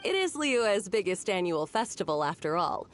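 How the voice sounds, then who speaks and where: A woman's voice: mid-range voice, very animated, normal speed, a little hiss or hum, lightly acted. A woman voicing a friendly, chatty townswoman who explains things helpfully in a video game. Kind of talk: video game character dialogue. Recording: music behind the voice.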